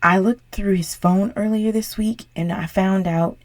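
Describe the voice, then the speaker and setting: A young woman voicing a bratty, bossy popular high school girl in an intimate audio roleplay, whiny and exasperated, with desperation underneath. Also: steady tone, unhurried, dry recording, close to an everyday voice. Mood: pleading, embarrassed. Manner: whiny, sighing, pushy.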